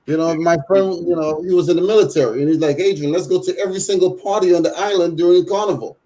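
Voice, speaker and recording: A man's voice: sits high, quick pace, warm tone, poor audio. A man from Trinidad with a mixed Trinidadian and American accent, chatting casually and filling his talk with "you know". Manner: rambling, leans on "you know". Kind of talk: casual chat.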